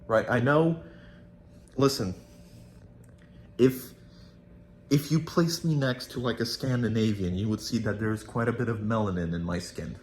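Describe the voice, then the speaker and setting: A man's voice: on the low side, measured pace, pauses often, a little mellow, slight background noise. Young man with slight Polish accent. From Poland. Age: young adult. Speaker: vlogger. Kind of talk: casual video journal monologue. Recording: echoey room, faint ambience.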